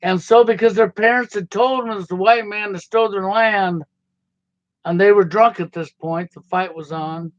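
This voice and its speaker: A man's voice: high-pitched, fairly even intonation, moderate pace, no room sound. Folksy American man rambling, dry lo-fi. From United States. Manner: folksy, conversational, digressive, nonstandard grammar. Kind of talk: rambling personal monologue. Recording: slightly dull, dry lo-fi sound.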